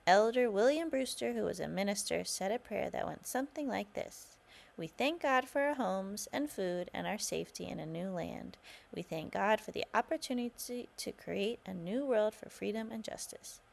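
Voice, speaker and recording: A woman's voice: medium pitch, animated, moderate pace, creaky. A woman voicing an earnest young boy reciting formally in a children's storybook. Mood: solemn.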